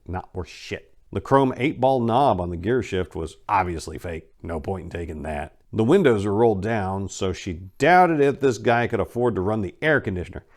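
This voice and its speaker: A man hosting and narrating a dramatic reading of a short story in a storytelling style. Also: deep voice. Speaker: channel host reading own short story.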